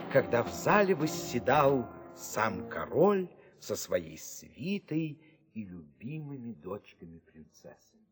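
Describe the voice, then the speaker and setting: A man narrating a scripted fairy tale in an expressive, measured storytelling manner. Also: medium pitch. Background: music.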